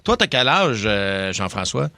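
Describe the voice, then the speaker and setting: A man's voice: fairly low pitch, very animated, unhurried, sharp tone, no room sound. A man with a Québécois accent hosting a radio show and asking direct questions of a caller. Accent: Québécois French. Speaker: radio host. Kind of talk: morning radio banter.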